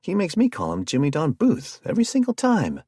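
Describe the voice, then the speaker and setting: A high, lively voice for a dramatic, geeky young man, as a male narrator puts him on. He rambles and swoops through wild claims in a storybook reading, and the husky edge stays underneath. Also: fairly quick, no echo, studio quality, heavily acted. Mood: excited.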